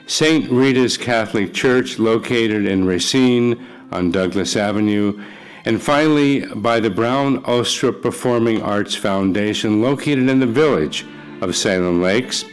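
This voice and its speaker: A man's voice: fairly low pitch, measured pace, clean tone, soft background music, low-quality recording. A man with an American accent, speaking as the host and announcer of a live radio theater broadcast. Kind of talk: live broadcast credits and on-stage chat. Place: church with live studio audience.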